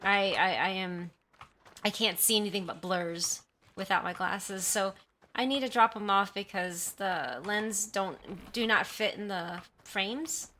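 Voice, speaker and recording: A woman's voice: mid-range voice, a little slow, pushed and bright, slight background noise. A woman livestreamer chatting casually with her viewers, rambling and often apologizing, on a sensitive desktop mic in a room at home. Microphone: desktop condenser mic at high sensitivity.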